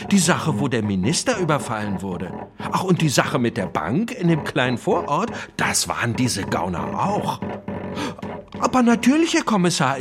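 A man's voice: a little high, voice pushed up. A man voicing a frightened, ingratiating small-time crook who eagerly informs on others in a radio play. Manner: ingratiating, gushing.

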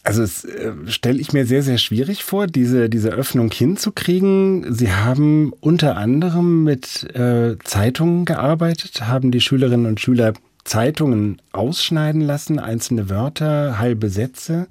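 A man's voice: medium pitch, animated, unhurried, few pauses, darkish tone, no room sound, studio quality. A man moderating a literary interview as the host.

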